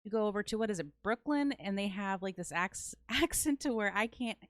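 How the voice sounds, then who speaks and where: A woman's voice: medium pitch, animated, brisk. A Midwestern American woman hosting a podcast, talking bluntly in casual chat and swearing freely. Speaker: podcast host.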